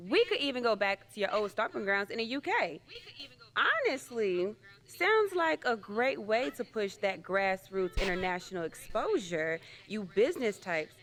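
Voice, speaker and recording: A woman's voice: mid-range voice, big pitch swings, moderate pace, a bit bright. A woman playing a cocky but good-natured champion wrestler in conversational video game dialogue, with light ambience behind. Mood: friendly, eager.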